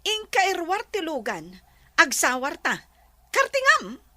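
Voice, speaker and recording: An actress in a radio drama playing a bossy, impatient, haughty young madam who snaps out orders. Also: female voice, extremely high pitch, huge pitch swings, a little slow, bright, forceful tone, heavily acted. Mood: irritated, ordering. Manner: imperious, snapping orders. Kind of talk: Ilocano radio drama acting.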